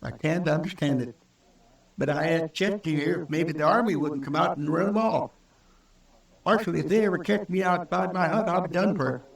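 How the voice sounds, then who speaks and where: A man's voice: fairly high pitch, normal speed, gravelly, far from the mic. Earnest folksy frontier townsman, roomy sound. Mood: fearful, insistent. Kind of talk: old-time radio western acting. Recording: old radio recording, roomy, off mic.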